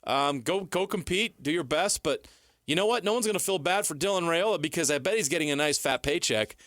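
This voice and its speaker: A man's voice: a little high, lively intonation, brisk, fairly bright tone, dry recording. Blunt American man talking sports, dry studio sound. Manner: opinionated, blunt, informal. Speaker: sports talk show co-host. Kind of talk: conversational sports commentary.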